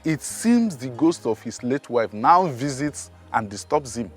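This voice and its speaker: A man's voice: medium pitch, expressive, unhurried, breathy edge. A man playing a villager who gossips in a conspiratorial way about the king. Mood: gossiping. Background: music.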